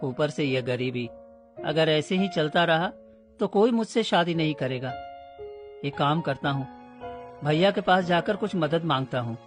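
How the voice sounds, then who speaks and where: A man's voice: on the high side, animated, measured pace, pauses often, over music. A man voicing a poor, anxious young woodcutter in a children's story, humble and plaintive in manner. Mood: self-pitying. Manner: plaintive, deferential.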